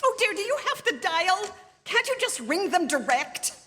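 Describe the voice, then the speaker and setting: Actress as high-voiced, fretful, imperious invalid wife. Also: female voice, very animated, moderate pace, sharp tone, full character voice. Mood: exasperated. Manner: agitated, stammering, haughty, indignant. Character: nervous, imperious bedridden wife, fretful and insistent. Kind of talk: stage performance of classic radio suspense drama. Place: live theatre stage. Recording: long hall reverb from theatre stage.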